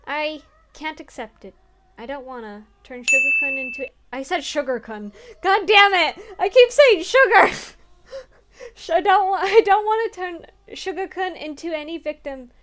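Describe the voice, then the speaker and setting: A woman's voice: sits high, unhurried, a bit bright. A young woman playing through a story game, reading the text aloud earnestly and breaking off into crude, swearing jokes.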